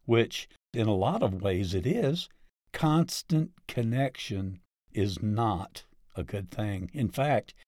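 A man reads an article aloud at a slow, deliberate pace, lifting his emphasis now and then. The sound is dry and close, with no room echo. His pitch sits in an ordinary middle range. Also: a little mellow. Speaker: article reader.

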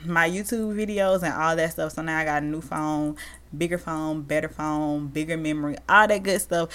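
Woman podcaster, 30, lively solo chat, slightly low voice. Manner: animated and chatty, addresses listeners as y'all. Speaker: podcast host.

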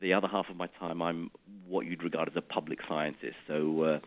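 A middle-aged man from Iraq speaks with a very low voice, explaining ideas in a relaxed interview manner. He often restarts and repeats words as he goes. The sound is dull and lo-fi, like an older radio line. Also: a little fast. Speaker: physicist guest.

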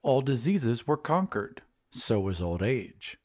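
A man's voice: mid-range voice, sing-song, slow pace, a little mellow. A man hosting a storytelling podcast, reading scripted narration. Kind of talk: scripted audiobook-style narration.

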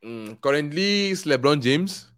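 Earnest young man, smooth voice. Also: on the high side, lively intonation, unhurried, fairly bright tone, dry recording, clean studio sound. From Nigeria. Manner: earnest, rambling with many fillers and laughs. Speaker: guest. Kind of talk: casual interview chat.

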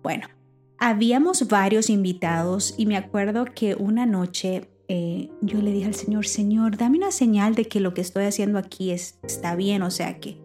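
A woman's voice: medium pitch, normal speed, soft background music. Spanish-speaking woman preacher telling her story. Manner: animated storytelling with many restarts. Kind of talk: personal testimony, casual talk.

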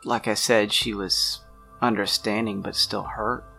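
An awkward young man in a tabletop drama, acted out by a man, with a low, gravelly voice. He speaks haltingly, full of guilt, often trailing off over soft background music. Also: level tone, heavily acted. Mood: guilty, regretful.

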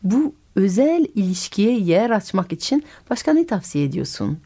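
A woman's voice: mid-range voice, big pitch swings, normal speed, warm tone, breathy edge. A woman from France, by birth, hosting a radio interview in a curious, questioning way and often finishing the guest's sentences. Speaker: radio host and storyteller.

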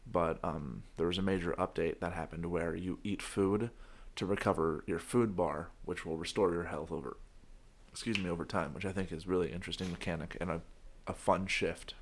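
A young man hosting a casual podcast, his voice hoarse from shouting, talking in a goofy, rambling way with frequent restarts. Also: sits low, steady tone, normal speed, slight background noise. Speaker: podcast host. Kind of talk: casual chat.

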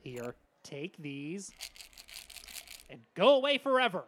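A man voicing a smug young frat-boy bully in an animated comedy, dismissive and quietly threatening. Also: high-pitched, takes it slowly, slight background noise, full character voice.

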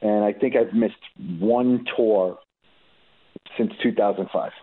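A man's voice: lowish voice, fairly even intonation, slow. Guest musician, male, from New York, loose rambling talker, heard over a thin phone-like line. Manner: rambling, many restarts and fillers. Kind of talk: casual interview chat.

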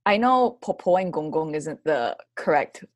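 A young woman from Hawaii, a graduate student, talking in a friendly, relaxed way as a guest over a video call. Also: deep voice, normal speed, no room sound.

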